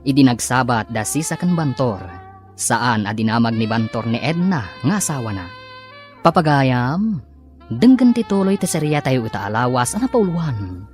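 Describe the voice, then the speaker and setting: An announcer narrates a scripted radio drama with very animated, swooping delivery. Music plays behind the voice, which sits at a middle pitch. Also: normal speed.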